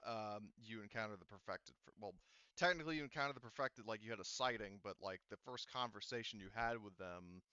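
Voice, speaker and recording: Male game master, crisp and forward, casual halting delivery, dry close sound with slightly muffled fidelity. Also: lowish voice, pushed and bright, no room sound. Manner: halting, filler-heavy, rambling explanations. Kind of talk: casual narration of tabletop game.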